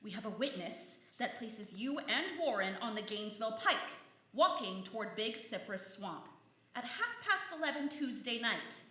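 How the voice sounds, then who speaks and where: A woman's voice: a little high, expressive, normal speed, pushed and bright. A woman playing a stern, hard-nosed police detective interrogating a suspect in a radio play. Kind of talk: old-time radio crime acting. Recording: echoey live stage.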